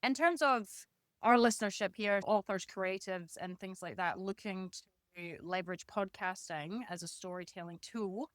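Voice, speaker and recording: A woman's voice: mid-range voice, moderate pace, a bit bright, no room sound. Encouraging woman podcast host, dry close sound. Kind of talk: conversational interviewing.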